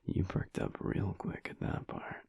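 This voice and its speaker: A man playing a laid-back, teasing alpha werewolf husband in an intimate audio roleplay, talking softly and slowly with dry humour in a low, gravelly voice. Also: dry recording, lightly acted. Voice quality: low, husky, gravelly whispery voice. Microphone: close microphone.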